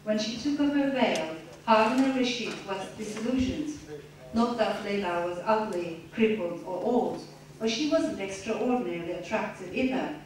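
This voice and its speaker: A woman's voice: medium pitch, darkish tone. Woman reading in an echoey room. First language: Turkish. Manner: measured, reflective, with some restarts. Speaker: author at a reading. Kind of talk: reading aloud then answering questions. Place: lecture room. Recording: echoey room, distant mic, faint noise.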